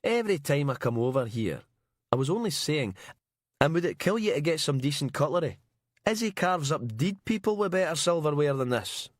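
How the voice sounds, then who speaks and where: A man's voice: on the high side, very animated, normal speed, dry recording, clean studio sound, voice pushed up. A man voicing a vain, pushy freelance reporter. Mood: grumbling.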